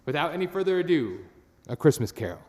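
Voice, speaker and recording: A man's voice: fairly high pitch, big pitch swings, fast, a little mellow. A man giving an informal, sincere and self-deprecating welcome as host of a live radio play. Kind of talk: informal welcome speech to an online audience. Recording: echoey room with long reverb.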